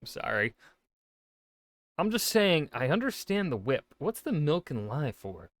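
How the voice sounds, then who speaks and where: A wry man reacting casually as a video host. His pitch is very animated and exclamatory, and he sounds close and dry. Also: a little slow, dry recording.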